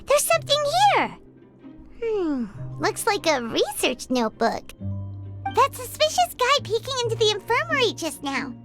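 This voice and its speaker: An extremely high, childlike fairy girl chatters like a game companion. The squeaky voice is animated and excitable, bouncing widely up and down, with music playing clearly behind it. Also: normal speed, heavily acted. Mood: curious, eager. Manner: speaks of herself in the third person, animated. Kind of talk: video game voice acting.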